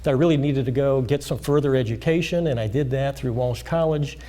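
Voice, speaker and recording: Mellow-voiced man explaining calmly. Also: medium pitch. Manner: measured explaining with many uh fillers. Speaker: financial planner guest. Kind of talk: interview answers. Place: high school TV studio.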